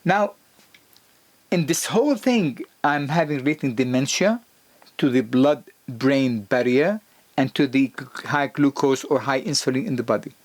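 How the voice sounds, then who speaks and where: A man lecturing to the camera about health in a husky voice. His pitch jumps up on key points, and he talks with restarts and fillers. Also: measured pace. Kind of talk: explanatory lecture video.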